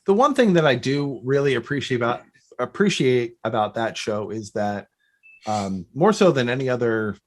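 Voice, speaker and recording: A man hosts a casual podcast in a medium-pitched voice. He rambles, doubles back and interrupts himself as he chats like a fan. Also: lively intonation, normal speed. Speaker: podcast host.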